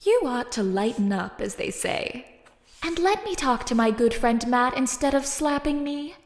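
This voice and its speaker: A woman's voice: high voice, lively intonation, measured pace. A woman voicing a formal, loyal companion who speaks in old-fashioned phrases in a comic dub. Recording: roomy reverberant echo.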